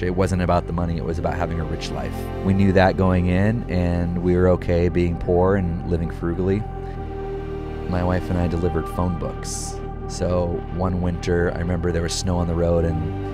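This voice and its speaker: A man's voice: sits low, vocal fry. A man in his thirties from the United States, a furniture maker speaking reflectively in a documentary interview, over background music. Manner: soft-spoken, reflective, unhurried. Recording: music bed underneath.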